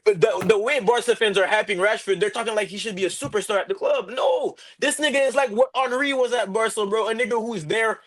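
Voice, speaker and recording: A young man co-hosting a podcast, making emphatic, excited football claims in casual slang. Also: high voice, fairly quick, few pauses. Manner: emphatic, peppers every line with "bro". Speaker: podcast co-host.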